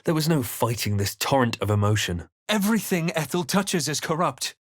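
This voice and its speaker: An actor playing a brooding male adventurer in a fantasy game, narrating his quest in a measured, theatrical, grave manner. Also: a little high, very animated, no room sound, clean studio sound. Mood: wary. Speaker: player character in fantasy role-playing game. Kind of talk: dramatic scripted game voice lines.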